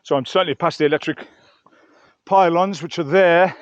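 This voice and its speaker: Male hiking vlogger, slightly high voice, rambling conversational delivery to camera, somewhat muffled open-air recording. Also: moderate pace, dry recording. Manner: chatty, rambling, musing aloud. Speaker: vlogger reviewing gear outdoors. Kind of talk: casual narration to camera while hiking. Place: outdoors on a mountain trail.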